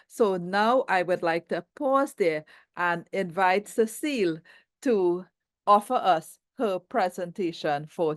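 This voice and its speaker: A woman moderator opens the day and introduces the keynote speaker, reading in a formal, measured way. Her mid-pitched voice sounds close and dry, with no room around it. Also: no room sound. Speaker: event host and moderator.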